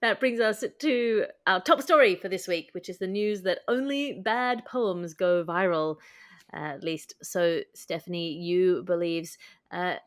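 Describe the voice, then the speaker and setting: A woman's voice: lowish voice, measured pace. A woman hosting a comic magazine-style podcast in a wry, wordy, mock-formal way. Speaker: podcast host.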